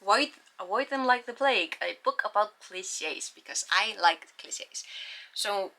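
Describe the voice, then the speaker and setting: Young Icelandic woman, book vlogger, bright, chatty, rambling delivery. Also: medium pitch, lively intonation, unhurried. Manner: rambling, chatty, with frequent sighs and ums. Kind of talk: casual book haul chat.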